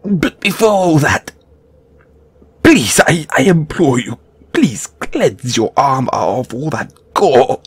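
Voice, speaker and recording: A man's voice: a little high, sing-song, slow, breathy edge, dry recording, exaggerated voice. A man acting a squeamish, timid gargoyle handler in an audio roleplay, stammering and speaking with nervous, formal politeness. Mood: alarmed, pleading.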